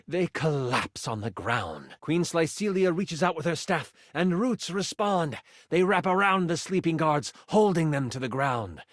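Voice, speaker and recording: Game master, fantasy video game narration, theatrical, suspenseful, playful, widely swooping pitch, dry studio sound. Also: male voice, dry recording. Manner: dramatic, suspenseful, playful asides.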